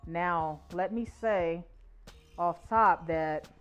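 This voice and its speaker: A woman's voice: on the low side, very slow, clear, resonant tone, soft background music. A woman in her forties hosting a podcast, speaking in an earnest, uplifting way. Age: 40s. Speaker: podcast host. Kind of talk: podcast introduction and conversation.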